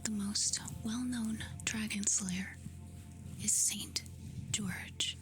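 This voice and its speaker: A woman doing soft-spoken ASMR, reading facts aloud in a hushed, soothing, breathy whisper. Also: medium pitch, steady tone. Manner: slow, hushed, soothing delivery with short phrases. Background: music.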